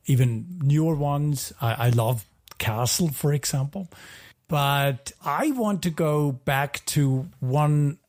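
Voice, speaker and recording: Higher-voiced man chatting casually on a podcast. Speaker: podcast co-host. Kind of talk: casual chat.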